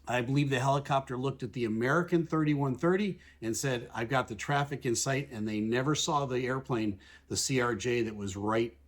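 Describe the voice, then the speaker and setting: A man with a mid-pitched voice works as an aviation analyst, explaining things step by step. He is measured and plain-spoken, restating technical radio calls in simple everyday language. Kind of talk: explanatory commentary over air traffic recordings.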